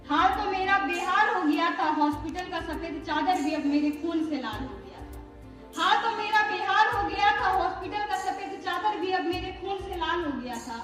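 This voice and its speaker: A woman's voice: very high voice, a bit bright. A teenage girl student giving an impassioned, plaintive dramatic poetry recitation, repeating lines for emphasis. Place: echoey room at a school. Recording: echoey room, distant microphone.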